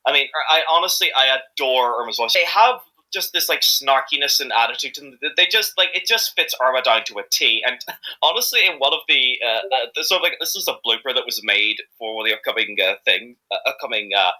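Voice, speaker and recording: A man's voice: mid-range voice, animated, brisk, sharp tone, poor audio. A man chatting casually as an interviewed amateur voice actor, rambling and often repeating himself hesitantly.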